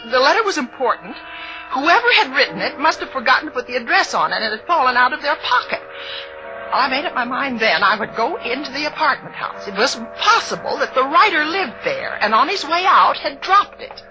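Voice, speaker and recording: A high, highly animated voice of a prim, anxious middle-aged spinster, indignant and easily rattled. It is 1940s radio melodrama, muffled and backed by music. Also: female voice, a little fast. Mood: anxious, confiding. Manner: precise, indignant, fretful, breaking into cries. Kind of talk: 1940s radio suspense acting.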